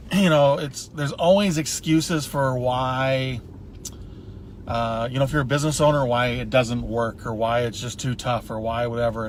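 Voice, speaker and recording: A man's voice: medium pitch, slight background noise. A man hosting a casual interview, chatty and enthusiastic, heaping praise on his guests. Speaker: host.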